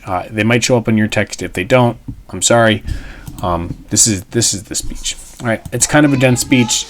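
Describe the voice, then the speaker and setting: A man's voice: fairly low pitch, slight background noise. A man speaking as a teacher, reading a text aloud and explaining it in an informal, emphatic way. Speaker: history teacher. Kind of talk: read-aloud lesson with explanations.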